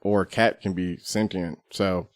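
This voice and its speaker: A man's voice: low voice, fairly even intonation, a little slow, no echo. A man as a podcast guest in casual discussion, explaining his distinctions step by step. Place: studio.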